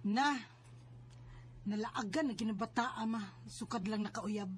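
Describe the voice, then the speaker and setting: A woman's voice: a little high, measured pace, natural voice. A woman with a Cebuano Filipino accent playing a protective, scolding married older sister in a radio drama. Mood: gossipy, concerned. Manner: nagging, emphatic. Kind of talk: Bisaya radio drama acting.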